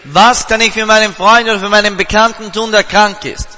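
A man's voice: high voice, steady tone, a little fast, pushed and bright, long reverb tail. A man working as a live interpreter at a revival meeting, translating the preacher phrase by phrase. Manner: translates each phrase right after the preacher.

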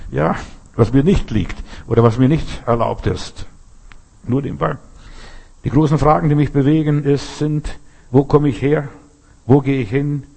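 A man's voice: mid-range voice, creaky, warm tone, husky. A man preaching a sermon, emphatic and full of rhetorical questions, often restarting his sentences.

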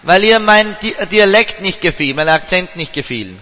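A male interpreter turns each line of the sermon around right after the preacher, in a high and bright voice. The long echo of a large hall hangs behind him. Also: animated, moderate pace.

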